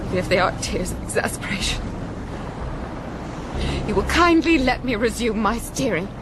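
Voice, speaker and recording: A woman's voice: fairly high pitch, lively intonation, normal speed, lightly acted. An actress playing a prim, stubborn, spirited castaway woman in a period radio drama, with formal, precise, indignant phrasing. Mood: exasperated, indignant. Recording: clear outdoor sea and boat noise.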